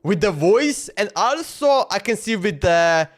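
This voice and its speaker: High-voiced man streaming a game. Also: very animated, a little slow. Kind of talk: casual live reaction commentary. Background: faint game music.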